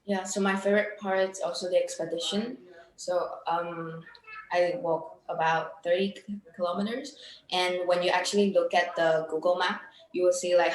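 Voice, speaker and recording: Teen girl, flat casual delivery, echoey room with faint noise. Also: on the low side. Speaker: panelist. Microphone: video call.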